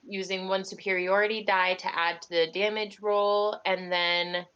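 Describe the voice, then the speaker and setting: A woman's voice: mid-range voice, little pitch movement, moderate pace, fairly bright tone, smooth, muffled recording. A woman playing an online tabletop game, chatting casually with a playful manner.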